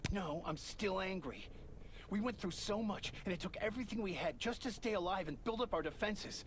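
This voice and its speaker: Guy acting as a bitter grieving survivor guard in a game, voice a bit high, faint noise behind. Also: brisk, close to an everyday voice. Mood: angry, determined. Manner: bitter, resolute. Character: angry, grieving male survivor guard of a raided outpost. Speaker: game character. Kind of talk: dramatic video game dialogue.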